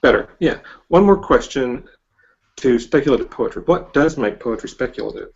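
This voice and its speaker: A man's voice: mid-range voice, lively intonation, normal speed, creaky, muffled recording. A man hosting a casual interview, talking conversationally and putting questions to his guest. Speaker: interviewer, host.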